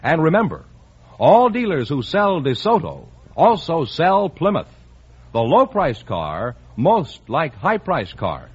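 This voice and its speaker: A man's voice: on the high side, wildly swooping pitch, slow pace, slight background noise. A man announcing a scripted radio commercial in an upbeat, persuasive way. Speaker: sponsor announcer. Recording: muffled 1950s radio broadcast.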